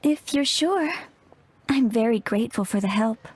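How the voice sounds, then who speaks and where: A woman's voice: on the high side, animated, moderate pace, slight background noise, close to an everyday voice. A woman playing a polite, gracious young shopkeeper in a video game, with courteous, apologetic phrasing. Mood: polite, grateful. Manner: polite, gentle wording, apologetic. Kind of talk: English video game voice acting.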